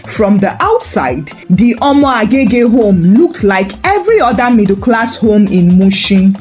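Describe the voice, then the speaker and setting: A woman's voice: medium pitch, darkish tone. A woman narrating a scripted story with wry, dramatic emphasis.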